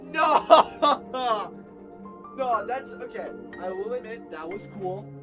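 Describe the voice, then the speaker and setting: A gamer giving let's play commentary, excitable, ranting at the game and making laser sound effects. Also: medium pitch, takes it slowly. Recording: voice over loud game music. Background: game music.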